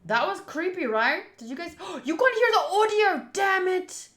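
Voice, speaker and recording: A woman's voice: very high-pitched, very animated, moderate pace, a bit bright, reverberant. A woman streaming a visual novel playthrough, talking informally and thinking aloud or reading the game's text. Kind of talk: casual livestream chat and reading game text aloud.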